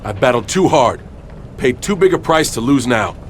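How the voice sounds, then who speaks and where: A man's voice: mid-range voice, big pitch swings, moderate pace, lightly acted. A man playing a cocky, defiant champion wrestler, punchy and wisecracking in a game cutscene, with noise behind him.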